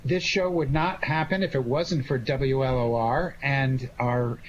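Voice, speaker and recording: A man's voice: medium pitch, fairly even intonation, unhurried, muffled recording. A man hosting a live radio show, talking effusively and conversationally with guests over a call. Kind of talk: live interview with cast after a show. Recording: faintly noisy live internet call.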